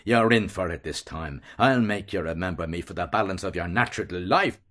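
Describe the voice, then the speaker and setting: A man's voice: low-pitched, a little fast, a bit bright. Man doing a brutal blustering brothel keeper. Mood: gloating menace. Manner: bullying, coarse, gloating.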